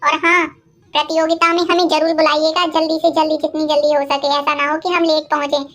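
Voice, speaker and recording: A woman playing a bright, high-pitched greedy mother-in-law. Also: flat delivery, soft background music. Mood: bossy, impatient. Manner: bossy, insistent, quick to take offence. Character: greedy, scheming, miserly older mother-in-law. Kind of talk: Hindi cartoon moral-story voice acting.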